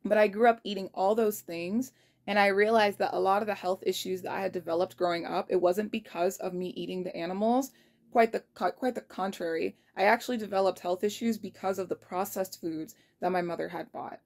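A woman's voice: mid-range voice, steady tone, brisk, a little mellow. Young woman, twenties, kitchen phone vlog, sincere and blunt diary-style delivery. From United States. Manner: earnest and reflective, frank, spells out numbers carefully. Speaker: vlogger. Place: kitchen indoors. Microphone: phone held low at arm's length.

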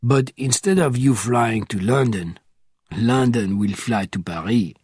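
A huge, menacing informant speaks low, slow and formal, as a male reader voices him. The voice is husky and breathy, and the recording is close and dry. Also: a little mellow, no room sound.

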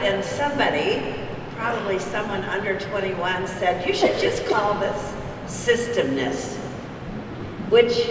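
An older woman giving a formal introduction from a stage, speaking in an unhurried, warm way. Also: mid-range voice. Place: large hall on a stage. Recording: echoey hall, distant mic, background noise.

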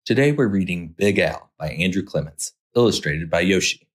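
A man's voice: deep voice, lively intonation, moderate pace. A man narrating a children's picture book as a storytime host, expressively, drawing out key words for effect.

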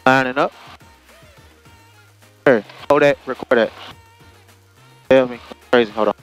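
Young American pilot, music behind him. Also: male voice, mid-range voice, a little slow. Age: young adult. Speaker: private pilot and vlogger. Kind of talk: casual vlog chat and pilot radio calls. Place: small plane cockpit in flight. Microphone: aviation headset.

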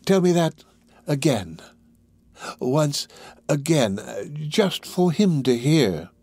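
A man voicing a sly, sinister old gang boss in a Victorian storybook, drawing out words with slow, insinuating emphasis. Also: medium pitch, no echo. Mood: menacing, slow. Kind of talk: dramatised audiobook of a Victorian novel.

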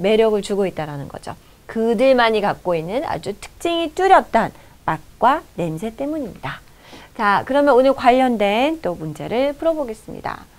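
A woman in her thirties teaching an English lesson in a studio, reading the English text slowly and clearly and then explaining it in Korean. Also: on the high side. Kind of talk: video lesson explaining a news article. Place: studio in front of a projected screen.

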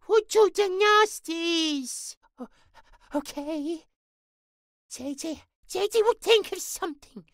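A squeaky, childlike little imp. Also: female voice, fairly bright tone, no echo, studio quality, full character voice. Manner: stammering, babyish wording, theatrical swoops. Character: childlike, giddy, anxious imp speaking in third person. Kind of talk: horror audio roleplay.

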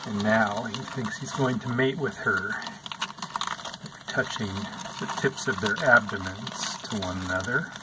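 A man calmly narrating over footage of his pet insect tanks, explaining what they do as he films. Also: lowish voice, very noisy. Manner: slow, calm, explanatory. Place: indoors at an insect tank.

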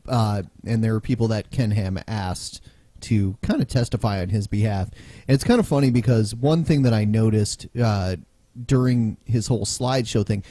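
A relaxed man hosting a podcast, talking casually and chuckling along with his co-hosts. His voice is mellow and warm, recorded close and dry on a podcast mic. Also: on the low side, no echo. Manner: relaxed, chatty, laughs easily. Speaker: podcast host.

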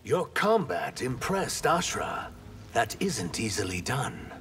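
An actor playing a proud, guarded reptilian warrior in a video game, with a stern delivery. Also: male voice, medium pitch, sing-song, takes it slowly, a bit bright, slight background noise. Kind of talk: dramatic video game dialogue.

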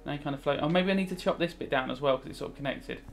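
Higher-pitched British man thinking aloud. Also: very fast, gravelly, faint music behind. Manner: rambling, thinking aloud, switching between explaining and musing. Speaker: religious studies teacher. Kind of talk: casual revision commentary while playing a video game.

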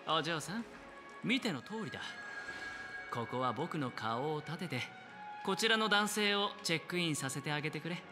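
A man acts a flamboyant, self-assured young male gambler from a video game. The voice sits high and swings widely, with sly, deliberate phrasing. Music plays faintly behind it. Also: animated, natural voice. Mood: persuasive. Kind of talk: Japanese video game voice acting.

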